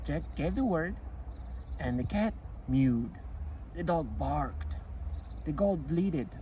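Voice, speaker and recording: A man narrates a children's folk tale in a slightly high voice. His delivery is expressive and deliberate, with a sing-song swing that moves widely up and down. There is noticeable noise behind the voice. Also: low-quality recording. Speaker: storyteller reading a folk tale. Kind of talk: scripted children's storytelling narration.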